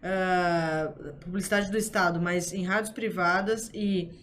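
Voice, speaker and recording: A woman's voice: fairly low pitch, steady tone, measured pace, slight background noise. A woman hosting a political commentary discussion, who talks hesitantly with many fillers and restarts. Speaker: host.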